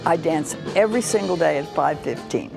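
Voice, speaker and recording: Feisty gravelly woman in her eighties. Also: low voice, a little fast, over music. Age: 80s. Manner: blunt, feisty, lively. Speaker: interviewee. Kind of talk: casual interview. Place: aboard a cruise ship lounge.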